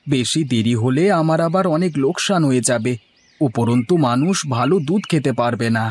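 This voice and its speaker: A man's voice: medium pitch, lively intonation, a little fast, close to an everyday voice. A man playing an honest, affable village milkman in a cartoon. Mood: earnest, hurried. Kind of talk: scripted cartoon dialogue.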